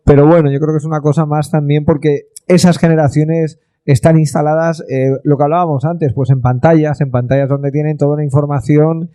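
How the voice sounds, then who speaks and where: A man's voice: on the high side, steady tone, a little fast, hardly any pauses, mellow, clear, resonant tone, no echo. A man speaking on a panel debate, reflective and nostalgic, often tagging his points with questions.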